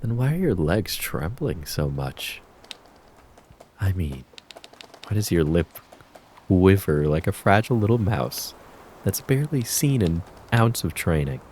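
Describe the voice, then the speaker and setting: A man voicing a menacing, mocking kitsune fox spirit in an ASMR roleplay, taunting and theatrical with a drawn-out predatory purr. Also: low-pitched, big pitch swings, a little mellow, a little hiss or hum. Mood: mocking menace.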